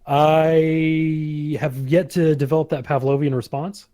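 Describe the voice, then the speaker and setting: A man's voice: on the high side, little pitch movement, takes it slowly, warm tone, no echo, studio quality. A man co-hosting a casual podcast, laid-back, mostly giving short replies. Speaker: podcast co-host.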